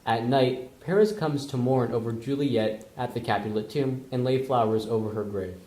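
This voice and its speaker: A man's voice: mid-range voice, moderate pace, dark tone. A man narrating an amateur puppet show retelling from a script. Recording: roomy echo.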